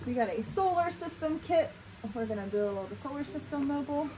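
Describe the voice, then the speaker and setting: A woman's voice: high-pitched, lively intonation, a little fast, low-quality recording. A woman in her thirties chatting informally and explaining things to camera as the host of a family homeschooling vlog, recorded indoors at home. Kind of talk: casual family vlog chat, showing items to camera. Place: home room with bookshelf, indoors. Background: music.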